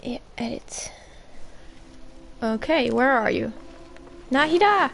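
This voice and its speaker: Female live streamer, casual gameplay commentary, slightly high voice, leisurely pace. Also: animated, slow pace, creaky, slight background noise. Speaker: game streamer. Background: game music.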